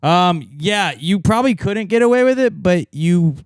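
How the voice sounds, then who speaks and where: Clear-voiced man bantering on a podcast. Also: high voice, animated, normal speed, no room sound. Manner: slangy, swearing. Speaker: podcast co-host. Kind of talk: casual comedic banter.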